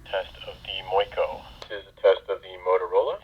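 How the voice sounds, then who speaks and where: A man's voice: low voice, fairly even intonation, fairly quick, muffled recording. A man making short test announcements, heard through a walkie-talkie radio. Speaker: product tester. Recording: noisy walkie-talkie speakers picked up by mic.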